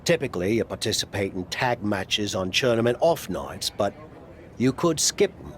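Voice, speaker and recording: A man's voice: on the low side, big pitch swings, normal speed, slight background noise. An actor playing a straight-talking male wrestling mentor giving candid advice in a video game cutscene. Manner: candid, advisory.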